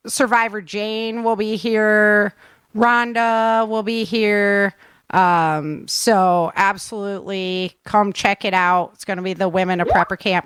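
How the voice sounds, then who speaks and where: A woman's voice: medium pitch, a bit bright, smooth, no room sound. A woman hosting a podcast in casual, upbeat and informal chat. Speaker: podcast host.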